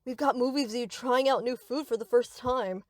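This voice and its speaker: A woman's voice: a little high, normal speed, no echo, natural voice. A woman playing a young yandere captor in a scripted roleplay, speaking to the listener with cutesy, rambling, possessive affection. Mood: adoring, excited. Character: sweet, obsessive yandere best friend, young woman captor.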